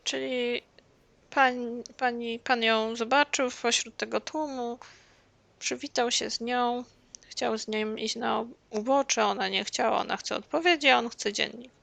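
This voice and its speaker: Bright, creaky-voiced woman gamer. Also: on the high side, steady tone, normal speed, no room sound. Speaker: gameplay streamer. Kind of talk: casual gameplay commentary, retelling and translating.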